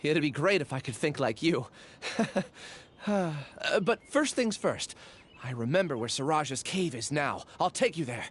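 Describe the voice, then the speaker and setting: A woman's voice: deep voice, lively intonation, unhurried, a little hiss or hum. A woman voicing a frank, good-humored young warrior in a video game, speaking candidly and directly with a light teasing edge.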